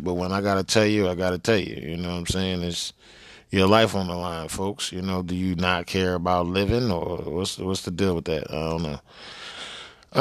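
Male host, casual slangy commentator, clean smooth tone, dry sound. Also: deep voice, a bit bright, no room sound. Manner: blunt, colloquial, slangy. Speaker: show host. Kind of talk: casual commentary over played clips.

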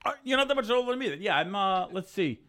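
A man's voice: high-pitched, lively intonation, fast, fairly bright tone. A man in his thirties hosting a casual podcast, rambling and joking with plenty of fillers and restarts. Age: 30s. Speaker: podcast host. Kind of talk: casual chat.

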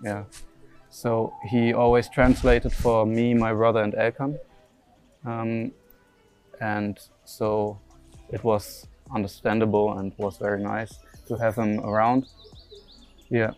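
Young man, flat voice, hesitant. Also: lowish voice, slow, pauses often, clean tone, soft background music. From Germany. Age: young adult. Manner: hesitant, lots of fillers and restarts. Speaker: interviewee, young footballer. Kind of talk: casual interview answers.

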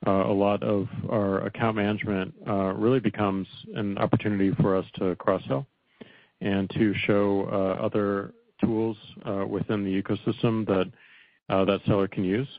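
A man speaking as a company executive on an earnings call, answering off the cuff in halting phrases with repeated words. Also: lowish voice, little pitch movement, no echo. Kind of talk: earnings call remarks, unscripted. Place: conference phone call. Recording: narrow, muffled phone line, dry.

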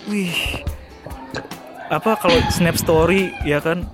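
A man's voice: a little high, steady tone, takes it slowly. A young man chatting casually as co-host, joking in slangy speech and often restarting his phrases. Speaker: podcast co-host. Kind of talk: casual chat. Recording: background music.

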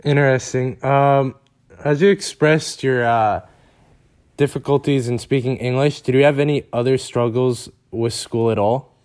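A man's voice: medium pitch, fairly even intonation, measured pace, a little mellow. A man interviewing someone, asking brief questions with hesitant "um" and "uh" fillers.

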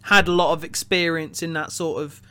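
A man co-hosting a film review podcast, talking casually and offering measured, tentative opinions. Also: fairly high pitch, steady tone, bright, forceful tone, clean tone.

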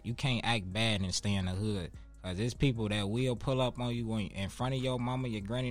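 A man in his twenties with a Chicago accent, talking casually as an interview guest in street slang, with profanity and emphatic repetition. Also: medium pitch. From Chicago, United States. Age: 20s.

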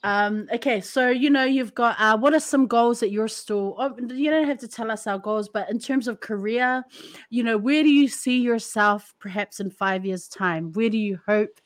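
A woman's voice: a little high, moderate pace, dry recording, studio quality. A woman hosting a podcast, chatting casually and enthusiastically with many fillers. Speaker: podcast host.

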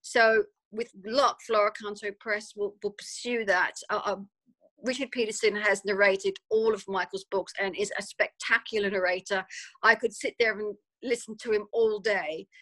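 A woman's voice: mid-range voice, steady tone, moderate pace, fairly bright tone, poor audio. Chatty Australian woman author. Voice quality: chatty, repeats words often, many fillers. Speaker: author guest. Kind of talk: casual interview answers.

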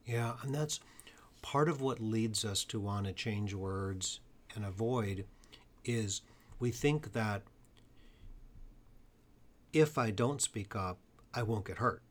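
Male podcast host, earnest, occasional stutter, low-ish voice. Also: takes it slowly, pauses often. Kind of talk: podcast conversation.

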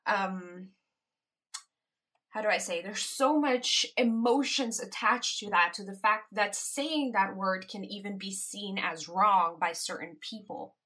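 Slow-talking bright-voiced woman podcaster. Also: mid-range voice. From Caribbean. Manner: reflective, laughs between points. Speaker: podcast host. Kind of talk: casual solo podcast monologue.

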